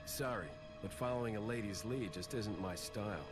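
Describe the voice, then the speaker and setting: A man's voice: on the low side, moderate pace, over music, natural voice. A man playing a terse, cocky young action hero in a video game cutscene. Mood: defiant.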